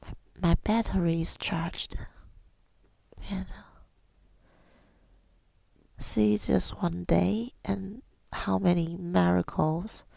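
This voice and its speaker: A woman giving an intimate ASMR monologue, mostly in a breathy whisper, with a tender, hesitant, affirming manner, close to the mic. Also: fairly low pitch, fairly even intonation, slow, pauses often, mellow, dry recording. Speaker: ASMR creator speaking to listeners.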